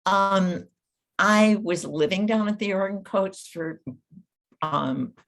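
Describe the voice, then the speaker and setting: A woman panelist recollecting informally and haltingly, trailing off as she searches her memory. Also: medium pitch, a bit bright.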